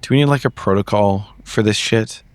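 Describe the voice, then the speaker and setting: A man's voice: lowish voice, a little fast, dry recording. A man playing a tabletop roleplaying game, improvising in character with casual, sweary, analytical talk.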